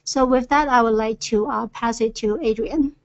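A woman's voice: on the high side, normal speed. A woman presenting engineering field observations on a webinar, with frequent hesitant fillers. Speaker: earthquake reconnaissance presenter. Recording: dull lo-fi webinar audio.

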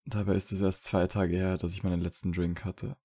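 Male actor as troubled, wry young wizard for audio drama. Very low, even delivery, dry close sound. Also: brisk, no room sound. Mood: strained, earnest. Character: troubled young wizard, dry and teasing, trying to quit drinking. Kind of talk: fan fiction audio drama dialogue.

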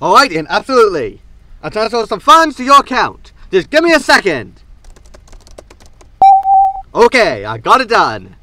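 A man's voice: sits high, sing-song, normal speed, pauses often, very noisy. A man playing a scornful, flustered media schemer in a sci-fi comedy audio drama, sneering and exasperated. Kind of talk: science fiction sitcom audio drama.

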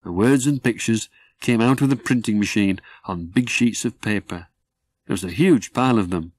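A man reading his own children's story as a measured, scripted narration. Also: lowish voice, darkish tone, dry recording.

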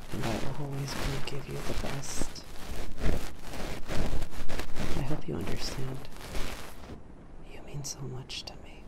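Doting ASMR boyfriend, acted by a man, breathy and intimate, with a creaky edge, unhurried. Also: mid-range voice, heavy background noise. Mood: affectionate. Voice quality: breathy, whispered and soft-spoken. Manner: tender, soothing, intimate. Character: doting, affectionate young boyfriend pampering his partner. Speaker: ASMR role-play performer. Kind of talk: ASMR personal-attention role play. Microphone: close binaural microphone.

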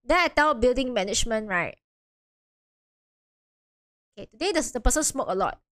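A woman's voice: a little high, lively intonation, normal speed, a bit bright. A woman streamer chatting casually with her viewers while playing a game, chatty and self-deprecating with frequent giggles. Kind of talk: casual live-stream chat.

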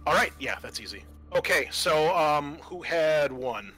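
A man narrating a tabletop roleplaying game as game master, explaining things in a halting, improvised way. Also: fairly high pitch, animated, pushed and bright, soft background music.

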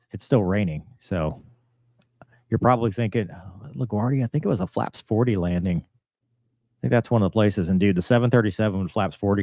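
Casual, low-voiced man explaining, dry close sound. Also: a little mellow, no room sound. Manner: conversational, explanatory, uses pilot jargon. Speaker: host, former fighter and airline pilot commentator. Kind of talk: casual explanatory reaction commentary. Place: small home room, on a video call.

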